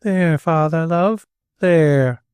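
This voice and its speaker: A man voicing a little girl who soothes her father in a gentle, motherly way. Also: high-pitched, sing-song, moderate pace, clear, resonant tone, no echo, voice pushed up. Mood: soothing. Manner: gentle, maternal.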